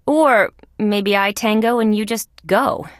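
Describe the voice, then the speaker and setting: A woman voicing a young, wisecracking female insect police detective in a video game, with sardonic, deadpan quips. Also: mid-range voice, slow, dry recording, clean studio sound, close to an everyday voice. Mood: cocky.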